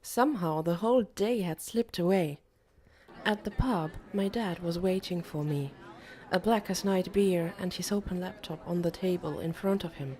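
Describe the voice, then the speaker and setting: A woman's voice: fairly low pitch, normal speed, dark tone. A woman reading a first-person novel as an audiobook narrator.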